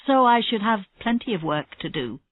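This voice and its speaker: A woman's voice: mid-range voice, sing-song, moderate pace, natural voice. A woman reading a storybook, playing a tired, proud woman detective with a dry, understated manner. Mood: dry, resentful. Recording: roomy, slightly dull lo-fi audio.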